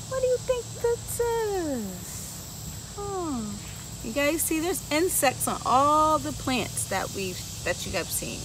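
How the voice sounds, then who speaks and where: A woman reading a picture book aloud to children on a garden bench outdoors, playfully asking them questions between the rhymes. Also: a little high. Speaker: children's story time reader. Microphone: camera a few feet away. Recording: outdoor recording with clear insect noise.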